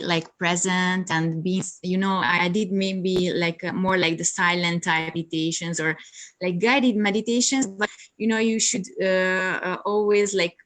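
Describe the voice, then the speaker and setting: A woman whose first language is Hungarian, chatting in an interview about her yoga teaching, hesitant with many fillers and frequent laughs, on a video call. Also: mid-range voice. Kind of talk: casual interview chat.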